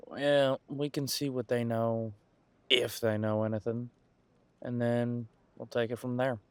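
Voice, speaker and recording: A man's voice: medium pitch, little pitch movement, measured pace, smooth, no echo, lightly acted. A man playing a casual, wry police detective in an audio roleplay, musing in a conversational, hesitant way. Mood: explaining, thoughtful.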